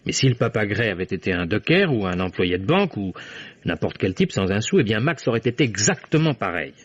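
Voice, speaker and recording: A dull, old-fashioned radio recording carries a young man with a fairly low voice. He is a loyal, earnest friend and lawyer who speaks emphatically, in the theatrical manner of a fifties radio play. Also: big pitch swings, brisk, husky. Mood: earnest, admiring. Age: young adult. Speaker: radio drama actor. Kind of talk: 1950s French radio drama acting.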